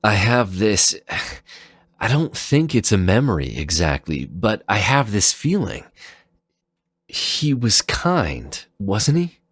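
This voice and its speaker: A man voicing an amnesiac android warrior who sounds bewildered, gentle and sincere, hesitant and wondering, in a dramatic fan fiction reading. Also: fairly low pitch, sing-song, slow pace, slightly rough, dry recording, studio quality, voice pushed up, full character voice. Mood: troubled, hopeful.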